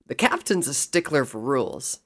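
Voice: medium pitch, big pitch swings, normal speed, fairly bright tone. A narrator voicing a roguish, resigned young male pony soldier who talks in a casual, wry way. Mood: bitter.

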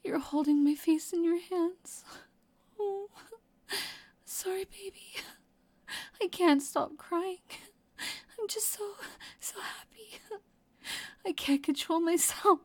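A woman playing a guilt-ridden, devoted and dangerous lover in an intimate roleplay audio drama, with a breathy, emotional delivery. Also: high voice, measured pace, pauses often. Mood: tender, weepy. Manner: breathy, emotional delivery sliding between sobs and whispers. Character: devoted, guilt-ridden female psychopath lover, tearful and clingy.

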